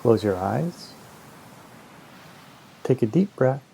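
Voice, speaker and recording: A man leading a guided meditation in a slow, soothing, hypnotic manner, with long pauses between short phrases. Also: medium pitch, animated, vocal fry, dark tone, a little hiss or hum. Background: ambient new-age music with singing bowls.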